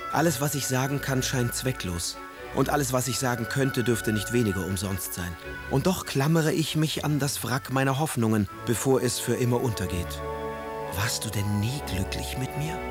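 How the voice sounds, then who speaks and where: An actor playing a proud, aggrieved young poet husband who pleads in eloquent, theatrical phrases in a radio drama. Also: male voice, medium pitch, close to an everyday voice. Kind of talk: German radio drama, letters read in character.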